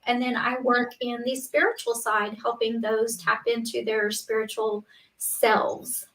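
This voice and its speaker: A woman's voice: a little high, flat delivery, measured pace, a bit bright, distant mic. A woman with an Oklahoma accent, an empowerment coach, introducing herself in a friendly, plain-spoken way. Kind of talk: self-introduction on a panel. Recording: echoey room, off-mic.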